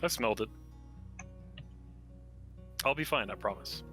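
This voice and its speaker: Guy doing a gruff loner adventurer, deadpan, few words, bright forceful tone, tabletop game, soft music behind. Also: fairly low pitch, measured pace. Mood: aloof, laconic. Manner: terse, deadpan. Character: laconic, gruff male loner adventurer who dislikes books.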